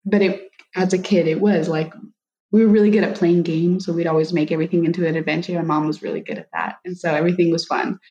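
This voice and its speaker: A young woman, a guest, speaking hesitantly and softly, with many likes. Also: fairly low pitch, steady tone, fast talker, dull audio. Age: young adult. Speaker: guest, daughter of an adoptee. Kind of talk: reflective conversation.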